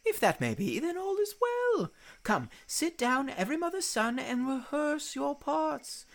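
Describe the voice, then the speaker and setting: Woman as fussy, wildly animated amateur play director. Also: sits high, unhurried, full character voice. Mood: earnest. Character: Peter Quince, earnest, fussy amateur play director, male. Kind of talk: Shakespeare radio play acting.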